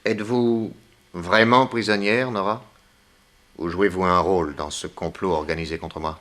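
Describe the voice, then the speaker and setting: An actor in a 1960s French radio drama playing a wry, battered detective who questions everything in a theatrical manner. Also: male voice, low voice, fairly even intonation, moderate pace, fairly bright tone. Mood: suspicious. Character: wry, battered male detective held captive.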